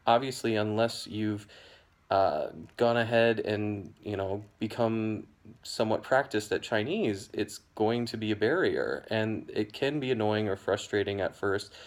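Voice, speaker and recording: A young American man explaining a topic to camera in a measured, hedging way, with frequent ums and "you know". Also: lowish voice. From United States. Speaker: educational video host.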